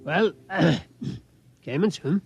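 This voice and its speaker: A man playing a frail, eccentric elderly millionaire, gentle but determined and hesitant, in 1950s radio drama style. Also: on the high side, lively intonation, extremely slow, gravelly, over music, full character voice. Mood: wistful, hopeful. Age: older. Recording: muffled, noisy 1950s radio recording.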